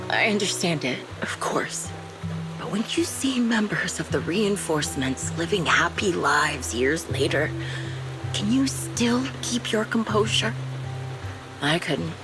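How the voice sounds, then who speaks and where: A woman playing a bitter, weary ex-soldier villain in a game cutscene, coldly mocking and brooding in a theatrical way. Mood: bitter, restrained. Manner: slow, brooding, theatrical delivery with breathy low chuckles. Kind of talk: dramatic game cutscene acting. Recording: voice under loud music. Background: loud music.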